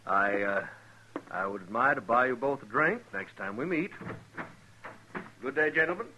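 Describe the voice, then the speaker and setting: Bright, courtly frontier doctor. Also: male voice, mid-range voice, animated, normal speed, slight background noise, poor audio. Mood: genial, ingratiating. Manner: courtly, ingratiating, formal. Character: genial, smooth-talking newcomer doctor in frontier town. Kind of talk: 1950s radio western acting.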